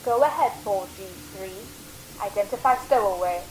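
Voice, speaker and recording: A woman playing a brisk, officious starship radio operator in a radio drama, clipped and procedural. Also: medium pitch, animated, noisy background, close to an everyday voice. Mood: businesslike.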